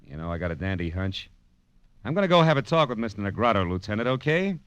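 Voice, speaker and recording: Deep-voiced hard-boiled radio detective. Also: male voice, big pitch swings, quick pace, fairly bright tone, clean tone, dry recording. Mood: wry, brisk. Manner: wry, slangy, clipped. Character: tough, wry, hard-boiled male private detective. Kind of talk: 1940s radio noir acting and first-person narration. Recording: vintage radio recording with background noise.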